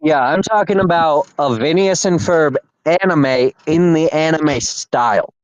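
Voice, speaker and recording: A man's voice: fairly high pitch, measured pace, no echo, rough recording. A man hosting a casual podcast, rambling and repeating himself with lots of fillers. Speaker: podcast host.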